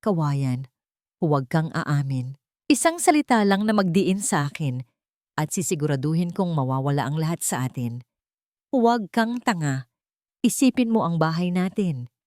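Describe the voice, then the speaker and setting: A woman's voice: sits low, very animated, moderate pace, long pauses, no echo. A woman narrator as scheming younger in-law, intense, manipulative, audio drama villain, close dry sound. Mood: hushed menace. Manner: wailing pleas, sharp threats. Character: pleading, tearful, deceitful daughter-in-law turned venomous.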